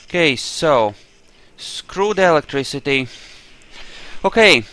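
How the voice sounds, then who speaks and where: A man with a clear, smooth voice commentates on a game he is playing. He speaks at an unhurried pace, thinking aloud as he goes. His manner is offhand and peppered with casual swearing. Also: a little high, animated, pushed and bright. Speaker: gamer narrating his own playthrough.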